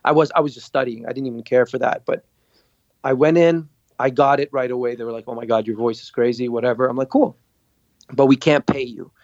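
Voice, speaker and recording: A man from Lebanon, a guest on a podcast, telling his story in a lively way and acting out what others said. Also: mid-range voice, a little fast, no room sound, lo-fi sound. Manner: animated storyteller, acts out quotes. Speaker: guest, singer and producer. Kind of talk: casual interview storytelling.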